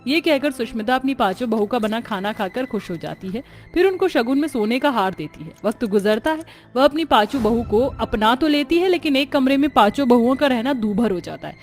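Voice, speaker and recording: High-voiced woman narrating over music. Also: lively intonation, a little fast, few pauses, a little mellow. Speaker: story narrator. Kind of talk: scripted moral story narration with acted dialogue.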